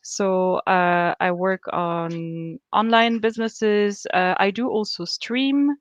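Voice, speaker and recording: Smooth-voiced woman from Austria. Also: mid-range voice, steady tone, slow pace, dry recording. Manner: thoughtful, many fillers and restarts. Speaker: student guest. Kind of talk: casual interview answers.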